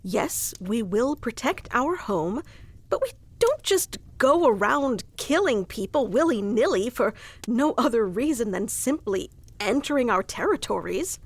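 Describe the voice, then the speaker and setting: A woman's voice: a little high, sing-song, unhurried, heavily acted. A woman playing a haughty, easily flustered young female elf guarding her forest in a scripted fantasy roleplay, with prickly, old-fashioned wording. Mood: indignant, defensive. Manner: prickly tsundere, archaic wording, stammers when flustered.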